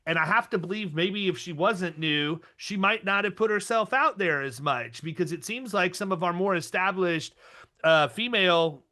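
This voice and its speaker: A man hosting an insurance podcast, talking in an energetic, rambling way with frequent restarts. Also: sits high, moderate pace. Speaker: podcast host. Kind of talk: casual interview chat.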